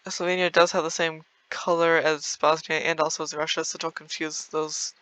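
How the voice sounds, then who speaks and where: A man's voice: on the high side, level tone, normal speed, sharp tone, smooth. A young man giving rambling, casual commentary over a strategy game, full of fillers and small laughs. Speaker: gameplay video creator.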